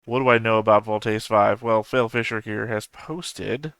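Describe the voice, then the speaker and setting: A man in his thirties with a low, gravelly yet smooth voice talks loosely and off the cuff, correcting himself as he goes. His delivery stays fairly flat, with dry humor running through it. The sound is close and dry, with no room around it. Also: a little slow, dry recording. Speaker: anime reaction channel host. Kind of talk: casual rambling commentary.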